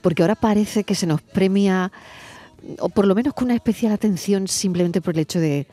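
A woman interviewer with a softened Andalusian accent who asks questions in a chatty way and murmurs along often. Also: medium pitch, fairly quick. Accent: softened Andalusian Spanish. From Andalusia, Spain. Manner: frequent backchannel murmurs, chatty.

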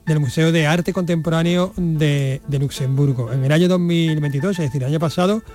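A man reporting culture news on radio in a brisk, informative way. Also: a little high, a little mellow, faint music behind.

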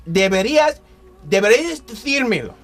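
A man's voice: high-pitched, very animated, normal speed. A man playing a scornful, miserly rich villain in a radio play, scolding pompously. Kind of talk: Christmas radio play acting.

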